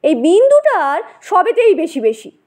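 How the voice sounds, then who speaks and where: A woman's voice: very high-pitched, big pitch swings, measured pace, darkish tone, heavily acted. A woman voicing an outspoken, sceptical woman in a story, with a dismissive, challenging manner. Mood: scornful.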